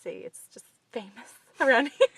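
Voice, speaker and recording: Bright-voiced young American woman, chatting casually. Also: high-pitched, very animated, moderate pace, breathy edge. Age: 20s. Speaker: vlogger. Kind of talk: casual chat to camera. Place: inside a parked car at night. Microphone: phone at arm's length.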